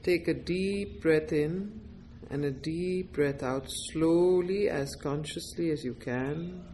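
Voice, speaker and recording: Slow-paced woman guiding a meditation. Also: low-pitched, slight background noise. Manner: gentle, deliberate short phrases with long pauses. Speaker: meditation teacher. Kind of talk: guided meditation, slow spoken instructions.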